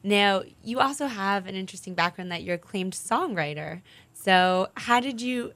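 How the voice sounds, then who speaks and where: A woman's voice: medium pitch, animated, normal speed, fairly bright tone. A woman hosting an interview, asking questions in an attentive and encouraging way. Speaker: interviewer host.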